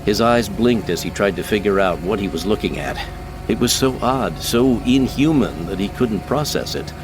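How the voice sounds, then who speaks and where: A man's voice: on the low side, expressive, normal speed, over music, rough recording. A man giving scripted, dramatic narration of science fiction as an audiobook narrator.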